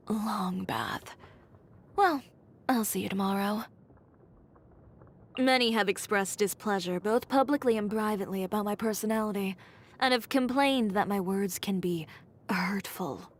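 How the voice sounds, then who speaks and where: A woman's voice: mid-range voice, close to an everyday voice. A woman voicing a blunt, haughty young fashion designer in a video game, speaking in a curt, dry and self-assured way. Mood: curt, languid, then dismissive. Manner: curt, dry, matter-of-fact, coolly condescending. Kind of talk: scripted game character voice lines.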